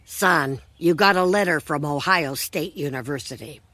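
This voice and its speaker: A woman voicing a cold, belittling elderly mother in an animated comedy. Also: sits low, moderate pace, noisy background, close to an everyday voice.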